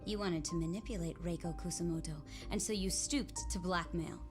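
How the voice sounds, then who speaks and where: A woman's voice: lowish voice, moderate pace, music in the background, natural voice. An actress playing a stern, accusatory female prosecutor in a video game, formal and pointed. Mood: stern, accusing. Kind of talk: English video game dub, dramatic cutscene acting.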